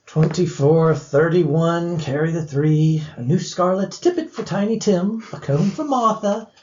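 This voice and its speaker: A man's voice: on the high side, a little slow, warm tone, muffled recording, slight background noise, lightly acted. A man playing a meek, kindly, poor clerk in a family radio drama, speaking with hesitant deference. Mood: dutiful.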